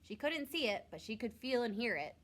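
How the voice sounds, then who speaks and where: A woman reading a picture book aloud to young children, expressively, drawing out words for emphasis like a teacher. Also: a little high. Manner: expressive, with drawn-out emphasis and questions to listeners.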